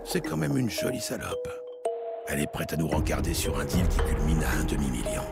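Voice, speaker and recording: A man playing a hard-boiled, cynical New York police lieutenant in a French audio crime drama, gruff, curt and sardonic. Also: a bass voice, sing-song, fairly quick, loud music behind the voice, heavily acted. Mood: sardonic narration. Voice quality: gravelly. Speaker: voice actor in an audio series.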